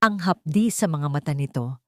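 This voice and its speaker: Audio-drama elder, read by a female narrator: sharp old Filipino woman, solemn, accusing, lowish register, dry sound. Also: expressive, normal speed, no room sound. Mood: bitter, sorrowful.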